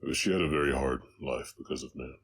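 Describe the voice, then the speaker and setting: A man playing a gentle, haunted orc cowboy in an intimate audio roleplay, speaking hesitantly with a slight Southern American accent and a very deep voice. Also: vocal fry, a little hiss or hum, exaggerated voice. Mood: somber, tender. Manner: halting, hesitant, reflective.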